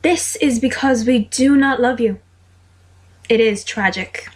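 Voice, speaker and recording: A woman's voice: on the high side, measured pace, husky. A woman voicing a sardonic seventeen-year-old boy, bantering in a dry, mock-formal way for an amateur audio drama.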